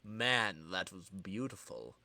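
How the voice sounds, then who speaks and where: A man's voice: low-pitched, very animated, slow, creaky, bright, forceful tone, no echo, voice pushed down, exaggerated voice. A man voicing a boastful, vengeful skateboarding comic-book villain with swaggering, slangy tough talk. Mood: triumphant.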